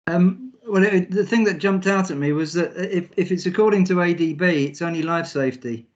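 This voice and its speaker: A man's voice: high-pitched, a little fast, dull audio. A man making precise technical points in an online meeting, with hesitant restarts. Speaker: meeting participant.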